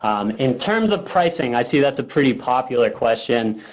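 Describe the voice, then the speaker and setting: A man's voice: mid-range voice, expressive, moderate pace. A man giving an informal webinar presentation, talking in a loose, conversational, improvised way. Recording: muffled, phone-like web conference audio.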